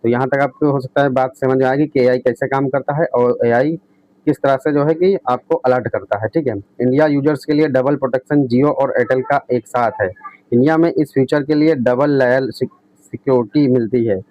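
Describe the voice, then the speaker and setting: A man's voice: medium pitch, steady tone, moderate pace, no room sound. A man explaining tech as a video host, reading out points and restating them with frequent checking tags. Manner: repetitive, often adds a checking tag. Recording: dull lo-fi close recording.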